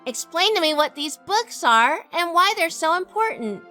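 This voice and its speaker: A sassy, poised noir businesswoman, acted by a woman in an audio drama. She is wry and unflappable, with a high, bright voice that moves in lively swings. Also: moderate pace, faint music behind, heavily acted. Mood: cool, challenging. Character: sassy, composed noir businesswoman telling her story.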